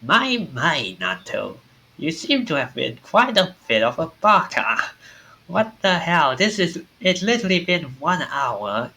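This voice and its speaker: A man's voice: on the high side, sing-song, measured pace, bright, forceful tone, pitched above the performer's own voice, full character voice. A man voicing a mocking, theatrical game storyteller who scolds with mock politeness. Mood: mocking, exasperated. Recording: music under the voice.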